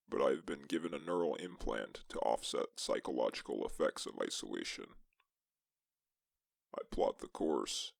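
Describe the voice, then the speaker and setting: A man narrating as a lone astronaut explorer in a suspense science-fiction story, with a gravelly voice and measured, deliberate delivery. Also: very deep voice, no room sound, clean studio sound, full character voice. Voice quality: gravelly, creaky. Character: lone male astronaut explorer recounting a tense exoplanet mission. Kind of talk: scripted first-person sci-fi story narration.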